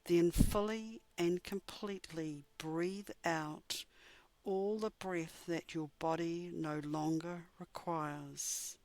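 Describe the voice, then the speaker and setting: A woman with a fairly low voice guides a meditation at a very slow pace. Her delivery is measured and soothing, with gentle pauses between short phrases. The sound is dry and close, with no room echo. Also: steady tone.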